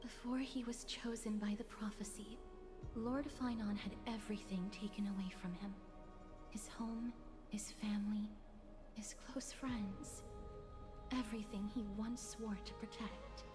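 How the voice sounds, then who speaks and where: An actress playing an earnest, courteous female attendant in a game, speaking formally and in measured phrases. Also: mid-range voice, steady tone, close to an everyday voice. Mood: earnest, somber. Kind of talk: scripted game voice acting. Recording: long hall reverb, music behind.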